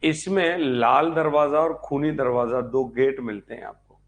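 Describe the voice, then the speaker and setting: A man's voice: mid-range voice, a little slow. Man teaching history, repeating key points. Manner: didactic, repeats points and asks rhetorical check questions. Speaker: history teacher for exam preparation. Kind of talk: live online lecture, dictating notes.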